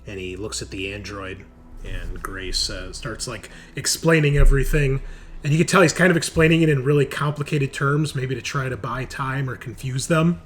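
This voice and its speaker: Male game master, average-pitched and conversational, improvising scenes, with clear noise behind him. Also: steady tone, moderate pace, a bit bright. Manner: conversational, explains rules and scenes. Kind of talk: improvised tabletop roleplay narration. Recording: home recording with clear background noise.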